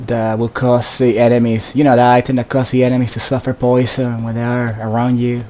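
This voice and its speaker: A man's voice: medium pitch, little pitch movement, a little fast, dark tone, slight background noise. A man giving casual solo gameplay commentary, addressing viewers directly with instructions as he plays. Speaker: gaming video host.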